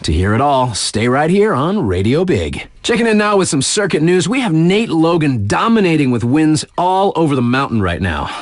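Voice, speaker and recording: A man's voice: a little high, very animated, moderate pace, few pauses, no room sound, studio quality. An actor playing an upbeat, cool male radio DJ in a video game, delivering news patter with chummy slang and hype for the athletes. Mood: upbeat, hyped.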